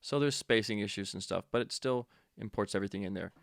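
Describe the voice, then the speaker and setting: A man giving a casual software tutorial, informal and offhand, thinking aloud as an instructor demonstrating step by step. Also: fairly low pitch, fast, gravelly, no room sound, clean studio sound.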